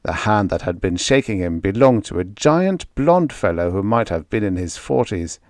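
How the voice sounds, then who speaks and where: A man's voice: lowish voice, big pitch swings, moderate pace, a little mellow, dry recording, studio quality. A man reading a story aloud as a scripted audiobook narration.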